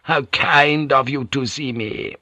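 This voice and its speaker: A shrewd, deferential woman of old Japan, voiced by a male narrator in a historical audiobook. The pitch is lifted and the tone bright, with insistent, humble courtesy. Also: slow pace, dry recording. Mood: courteous. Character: shrewd, deferential Japanese businesswoman pleading with a lady.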